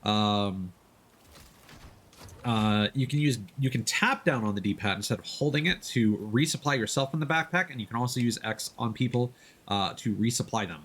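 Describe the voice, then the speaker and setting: A man's voice: mid-range voice, normal speed, fairly bright tone. A man doing live let's-play commentary, casually explaining the game as he plays. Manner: casual, instructive. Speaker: game streamer. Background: video game ambience.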